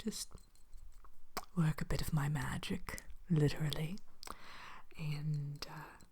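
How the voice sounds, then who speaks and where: A sly, courteous evil queen, played by a woman, murmurs to a customer in an ASMR roleplay. Her voice is low and dark, mostly a breathy whisper with a dry creak. She sounds genteel and playfully sinister as she tends her enchanted shop. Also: fairly even intonation, slow, a little hiss or hum. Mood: soft, businesslike.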